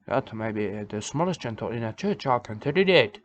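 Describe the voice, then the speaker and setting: A man playing an odd male preacher of a nudist church, who talks in halting broken English and keeps repeating himself. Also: mid-range voice, lively intonation, a little hiss or hum, lightly acted. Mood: rambling. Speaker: self-styled church leader. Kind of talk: rambling comic monologue. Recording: dull lo-fi recording.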